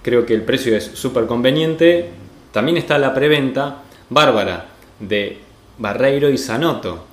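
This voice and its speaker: Man, Argentine accent, podcast host, conversational presenting, medium pitch, roomy echo. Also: a little slow. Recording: roomy echo, faint music behind.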